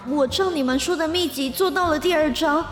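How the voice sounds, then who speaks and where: Woman acting young office girl with a crush, high voice, flustered n hesitant, radio drama, reverby with music. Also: fairly quick, close to an everyday voice. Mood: panicked. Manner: hesitant, full of little interjections. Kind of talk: modern urban romantic comedy radio drama acting. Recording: roomy reverb, music behind.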